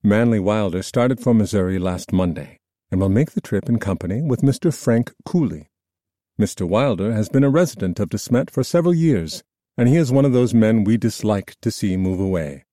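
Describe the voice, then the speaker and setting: A man reading scripted nonfiction narration in a measured, formal storytelling manner. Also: lowish voice, expressive, dark tone, no room sound, studio quality. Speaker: audiobook narrator.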